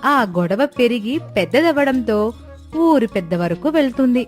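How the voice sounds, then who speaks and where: A woman's voice: on the high side, animated, normal speed, faint music behind. A woman reading scripted narration as the narrator of a story.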